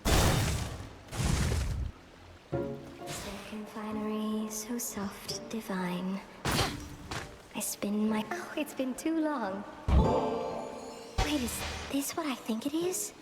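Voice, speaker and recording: Languid, echoing spider weaver. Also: female voice, mid-range voice, very slow, long pauses. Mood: dreamy, longing. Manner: languid, genteel, fussy. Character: dreamy, vain, dainty spider weaver woman. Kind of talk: video game voice acting. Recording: echoing, long reverb, music behind.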